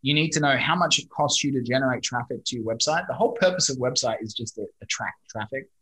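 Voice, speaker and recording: A man's voice: medium pitch, fast talker, bright, forceful tone, low-quality recording. An Australian man in his thirties, a guest telling stories in a casual interview. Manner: chatty, storytelling, mild swearing. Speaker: guest, digital marketing company owner.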